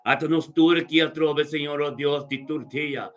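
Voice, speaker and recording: A man's voice: on the high side, fairly even intonation, moderate pace, a bit bright. Man in fervent, repetitive prayer over music. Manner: repetitive, with frequent interjected praise exclamations. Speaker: prayer leader. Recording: music playing behind the voice.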